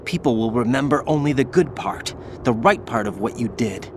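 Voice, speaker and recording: A medium-pitched young male soldier from a video game, performed by an actor. He is earnest and intense, with a brooding, haunted edge to his questions. Clear ambient noise runs behind him. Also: expressive, moderate pace, lightly acted. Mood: troubled, brooding. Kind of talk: video game cutscene voice acting.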